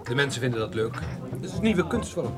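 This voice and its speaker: Male actor as sociable club-goer, radio drama, casual and airy, medium pitch, loud music behind. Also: sing-song, fairly quick, lightly acted. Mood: explanatory, airy. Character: sociable male club-goer, breezy host explaining bohemian art.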